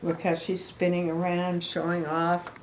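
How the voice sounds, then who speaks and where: A woman's voice: on the low side, completely flat delivery, measured pace, slight background noise. An older woman reading a picture book aloud to children, explaining things in simple phrases with little asides. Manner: simple, explanatory phrases addressed to young listeners. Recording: echoey room.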